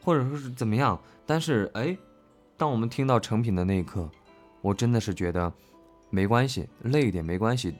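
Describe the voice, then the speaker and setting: Man, voice actor, lowish pitch, lively intonation, earnest, halting, repeated phrases, casual reflective chat. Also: soft background music. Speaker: voice actor discussing an audio drama.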